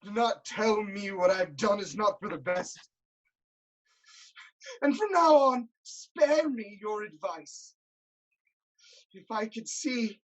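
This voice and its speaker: A woman's voice: on the high side, muffled recording. A woman playing an anguished, self-loathing blinded king in a declamatory, lamenting style of Greek tragedy. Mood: bitter self-loathing. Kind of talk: theatrical Greek tragedy acting.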